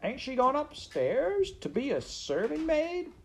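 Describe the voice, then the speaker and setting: A man voicing a simple, downtrodden storybook serving girl with rough, uneducated speech and a plaintive manner. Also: very high voice, wildly swooping pitch, a little slow, voice pushed up, heavily acted. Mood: hopeful, hurt.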